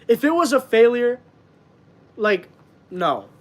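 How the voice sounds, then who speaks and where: Young guy host, high pitched, congested voice, earnest rambling stream chat. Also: very animated, takes it slowly. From Canada. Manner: earnest, rambling, many fillers. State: stuffed up. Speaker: wrestling channel host. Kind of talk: casual live-stream chat.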